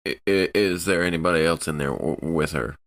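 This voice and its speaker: A man playing an urgent, bewildered spaceship crewman who talks haltingly and repeats himself. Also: extremely low pitch, a bit bright. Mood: wary, halting.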